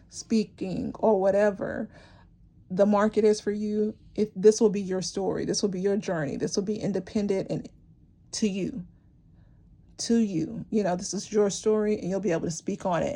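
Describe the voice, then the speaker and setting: Gravelly-voiced woman reading tarot cards. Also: mid-range voice, fairly even intonation, moderate pace, mellow. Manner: rambling, encouraging, many fillers and restarts. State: losing her voice, clearing her throat. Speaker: tarot reader. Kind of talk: intuitive tarot reading to camera.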